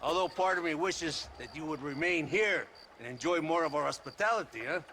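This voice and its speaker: An actor playing a boisterous, lecherous, cruel Mexican army officer in a video game, oily and mock-friendly, with a Mexican accent. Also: male voice, a little high, lively intonation, fairly quick, bright, forceful tone, a little hiss or hum. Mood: smug, jovial. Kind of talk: video game cutscene acting.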